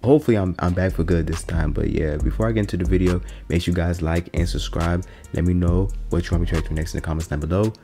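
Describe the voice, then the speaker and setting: An American man in his twenties with a deep voice, giving casual, slangy commentary as a reaction video host. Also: fairly even intonation, quick pace, few pauses, mellow, soft background music. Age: 20s. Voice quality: naturally deep voice. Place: small indoor room.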